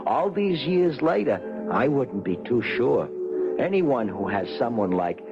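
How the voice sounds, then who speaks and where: A man's voice: medium pitch, sing-song, unhurried. An actor playing a nervous, henpecked office clerk who stammers and wryly narrates his own troubles in an old-time radio comedy. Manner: hesitant, stammering, wry. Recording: dull old radio recording with music.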